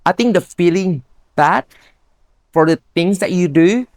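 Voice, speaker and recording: A woman's voice: lowish voice, lively intonation, unhurried, a little mellow, dry recording. Upbeat Indonesian-accented woman, dry sound. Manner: upbeat, earnest, non-native grammar. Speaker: guest, bodybuilder. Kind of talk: casual interview chat.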